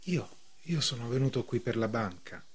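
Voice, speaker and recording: A man's voice: medium pitch, animated, moderate pace, slightly rough. An actor playing a troubled, defensive accused man in a radio play, with an earnest way of talking. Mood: hesitant, earnest. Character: troubled accused bank man protesting his innocence. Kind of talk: theatrical radio drama acting.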